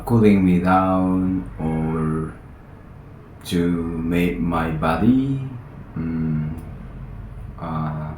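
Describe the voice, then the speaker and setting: A man from Indonesia with an Indonesian accent, a native Indonesian speaker, vlogging in English with halting speech full of fillers and restarts. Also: low voice, darkish tone, slight background noise. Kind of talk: casual vlog, practising English. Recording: echoey room.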